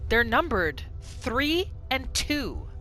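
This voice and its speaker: A woman hosting a gaming video, giving casual playthrough commentary in an expressive, exclamatory way. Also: on the high side, very slow, rough recording. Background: game music.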